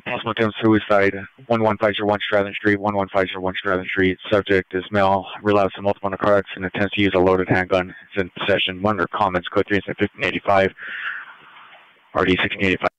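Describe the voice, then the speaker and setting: A man's voice: fairly low pitch, completely flat delivery, a little fast, a bit bright, clean tone, lo-fi sound. A man dispatching units in clipped phrases over police radio.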